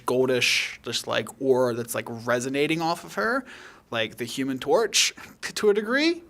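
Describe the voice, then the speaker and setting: Man, game master, medium pitch, casual improvised narration, conversational. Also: a bit bright. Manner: loose, conversational, peppered with "like" and "kinda".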